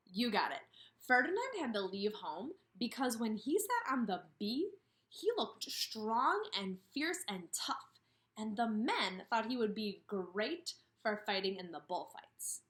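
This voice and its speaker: A woman in her thirties teaching and reading aloud to kindergarteners, expressive, with questions and emphatic pauses. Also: on the high side. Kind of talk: instructional read-aloud for young children. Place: indoors, room with a brick wall.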